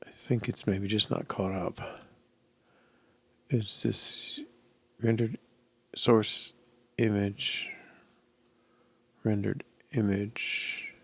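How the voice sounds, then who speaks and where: A middle-aged man thinking aloud as he codes, musing and halting as if muttering to himself, in casual commentary. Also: lowish voice, level tone, vocal fry, a bit bright, breathy edge, no room sound. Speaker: programmer live-coding. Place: indoor room at a desk.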